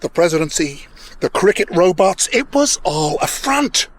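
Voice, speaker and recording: A man playing a brash, vain alien ex-president in a comic radio drama, slangy, boastful and excitable. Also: high-pitched, unhurried, heavily acted. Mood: urgent, conspiratorial.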